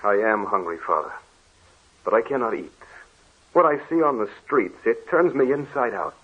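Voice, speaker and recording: An actor playing a sensitive, troubled young Dutch student who speaks earnestly, in 1940s radio drama style. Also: male voice, on the low side, expressive, normal speed, slightly rough. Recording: dull old radio recording.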